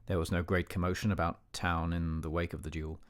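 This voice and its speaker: An actor plays an older, educated gentleman narrating a period radio drama in a low voice. He is measured and formal, with a dignified, censorious air. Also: flat delivery, natural voice. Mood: measured, reflective. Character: disapproving, educated older man narrating a memoir.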